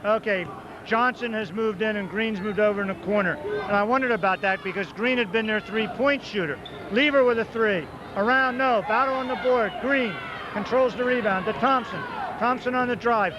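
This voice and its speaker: A man calling live high school basketball play-by-play in quick, clipped calls, rooting for the home team, with a loud voice. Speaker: play-by-play announcer. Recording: muffled 1990s local broadcast tape, loud gym crowd. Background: crowd noise, ball bounces.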